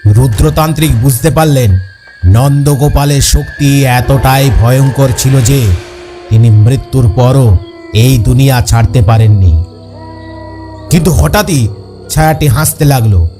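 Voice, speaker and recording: A man's voice: mid-range voice, measured pace, pauses often, mellow, faint music behind. A man reading scripted ghost story narration as a storyteller.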